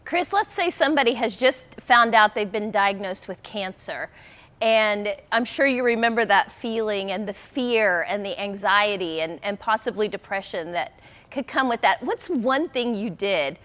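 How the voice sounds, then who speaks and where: A woman's voice: medium pitch, expressive, unhurried, a bit bright. A woman asking questions as a co-host in a broadcast interview.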